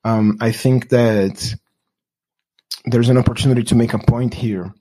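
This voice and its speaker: A man's voice: medium pitch, fairly even intonation, measured pace, mellow, poor audio. A man from Brazil with a Brazilian Portuguese accent, talking in a casual, reflective way with many restarts and fillers. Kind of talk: casual conversation.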